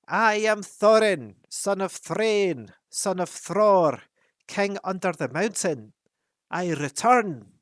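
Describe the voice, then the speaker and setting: A man voicing a proud, solemn dwarf king who speaks in a loud, stately way. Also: medium pitch, moderate pace, dry recording, clean studio sound, lightly acted. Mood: proclaiming.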